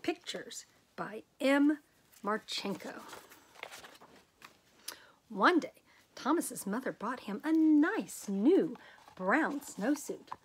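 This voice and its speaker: A woman reading a children's picture book aloud as a storyteller, with expressive, dramatic emphasis and drawn-out words. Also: on the high side, husky, rough recording.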